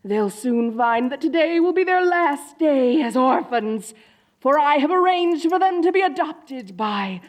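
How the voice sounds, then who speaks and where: Woman acting as evil sorceress queen, higher-pitched, unhurried delivery, grandiose, dorky, awkward, soft-hearted, comedic audio drama. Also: animated. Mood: gloating, gleeful. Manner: theatrical, grandiose declarations slipping into bashful, awkward chatter. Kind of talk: comedic roleplay audio drama addressed to the listener.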